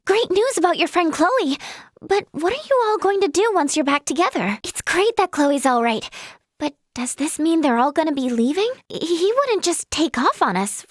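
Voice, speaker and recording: A woman's voice: sits high, dry recording, studio quality. A woman playing a sweet, timid, earnest teenage girl healer in a video game, with a light, girlish voice and a polite, hesitant way of talking. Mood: hopeful, nervous. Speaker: voice actress. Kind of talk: video game character voice lines.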